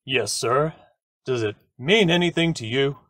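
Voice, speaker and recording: A man's voice: mid-range voice, lively intonation, unhurried, fairly bright tone, no echo, clean studio sound. A man acting a polite, matter-of-fact police lieutenant in an old-time radio drama, with formal questioning delivery. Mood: official, probing.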